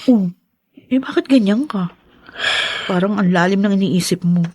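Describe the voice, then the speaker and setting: Actress as a probing young wife, dry sound. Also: female voice, medium pitch, moderate pace, a little mellow, breathy edge, no echo, lightly acted. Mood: concerned. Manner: questioning, earnest. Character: concerned, probing young wife, increasingly incredulous. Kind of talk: Tagalog radio drama acting.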